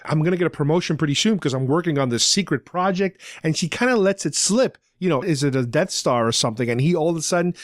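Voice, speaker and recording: A man's voice: fairly high pitch, animated, quick pace, hardly any pauses, dry recording, clean studio sound. A man hosting a podcast, casually recapping a story in an explanatory, rambling way. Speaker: podcast host. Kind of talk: casual talk recapping a radio drama.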